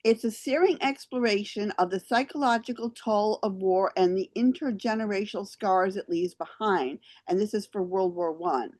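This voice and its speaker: Lady hosting a theater review show, normal pitch, talking plays, dry sound no echo. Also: moderate pace, hardly any pauses, a little mellow. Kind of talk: reading reviews and discussing theater.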